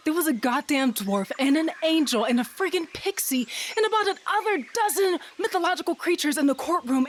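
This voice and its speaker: A woman's voice: sits high, a little hiss or hum. A woman playing a decisive, sardonic wife on a fantasy quest in an audio drama.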